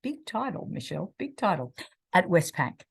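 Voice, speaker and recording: A woman's voice: on the low side, sing-song, moderate pace, gravelly, crowd noise. A woman, hosts a live online career event, introducing panel speakers in a chatty way with many restarts. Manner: chatty, restarts and stumbles over names. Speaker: host of a university career chat.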